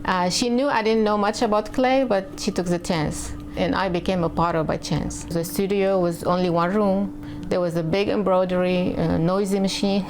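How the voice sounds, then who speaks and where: A woman's voice: on the low side, fairly even intonation, normal speed, rough recording. A woman from Lebanon with a Lebanese accent, a potter telling her personal story in an interview. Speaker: artist, potter. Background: faint music.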